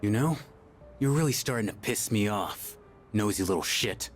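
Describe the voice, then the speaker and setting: A man's voice: lowish voice, expressive, normal speed, a little hiss or hum, lightly acted. A man playing a surly, aloof young tough with a curt, dismissive manner in an anime game. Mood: irritated. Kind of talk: anime game dub acting.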